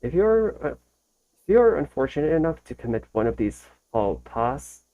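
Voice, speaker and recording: A man hosting a casual reaction video, reading text aloud a little haltingly and going back over phrases to correct himself. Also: a little high, very animated, phone-quality sound.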